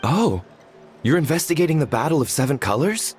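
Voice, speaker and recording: A man's voice: fairly high pitch, sing-song, a little fast, background noise. An actor playing a modest, earnest tribesman in a video game, polite and a little hesitant. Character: modest, earnest tribesman proud of family stories.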